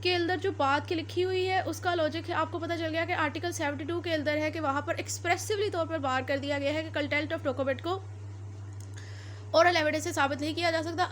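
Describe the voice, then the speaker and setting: High-pitched Pakistani woman lecturing. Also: a little hiss or hum. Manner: repeats and rephrases points to explain. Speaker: law lecturer. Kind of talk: explanatory lecture mixing Urdu and English.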